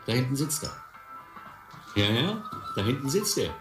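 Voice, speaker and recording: An actor playing a brusque harbour tavern keeper in a radio play. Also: male voice, mid-range voice, sing-song, moderate pace, lightly acted. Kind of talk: German radio play acting. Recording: roomy, music behind.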